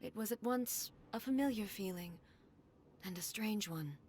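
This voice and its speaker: A woman's voice: medium pitch, animated, normal speed, a little mellow, slight background noise, close to an everyday voice. An actress playing a proud, thoughtful female warrior goddess who speaks earnestly and formally in a game cutscene. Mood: reflective. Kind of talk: video game cutscene voice acting.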